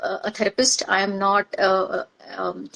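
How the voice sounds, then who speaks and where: A woman's voice: mid-range voice, level tone, gravelly, a bit bright. Hesitant middle-aged Indian woman. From Maharashtra, India. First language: Marathi. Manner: hesitant, many pauses and fillers. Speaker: guest, organizational psychologist. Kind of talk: reflective personal interview.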